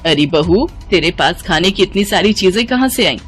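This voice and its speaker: A woman's voice: on the low side, lively intonation, normal speed, soft background music, lightly acted. A woman voicing a greedy older mother-in-law in a moral story, scolding and sarcastic. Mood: suspicious, bossy. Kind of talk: animated moral story acting.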